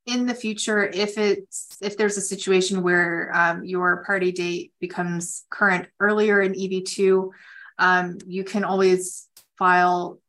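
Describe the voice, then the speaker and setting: A woman with a slightly low voice speaks as an attorney hosting a call. She explains legal points in a measured way, pausing as she works out her phrasing. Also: flat delivery, a bit bright.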